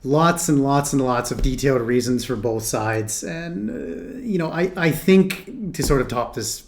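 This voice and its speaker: A man's voice: medium pitch, lively intonation, unhurried. A man from Canada reacting to a video, casual, thoughtful and a bit rambling with hesitations.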